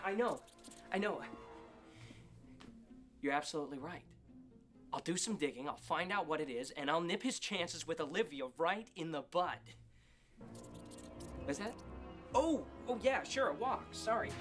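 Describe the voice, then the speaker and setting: A whiny, scheming teen. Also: male voice, on the high side, animated, a little slow, long pauses, a bit bright, soft background music. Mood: bitter. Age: young adult. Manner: whiny, petulant. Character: jealous, scheming teen boy with a pet tarantula.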